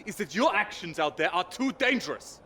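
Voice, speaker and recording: A man's voice: sits high, very animated, normal speed, bright, forceful tone, slight background noise, exaggerated voice. An actor playing a principled, indignant male racing driver in a video game cutscene, earnest and reproachful.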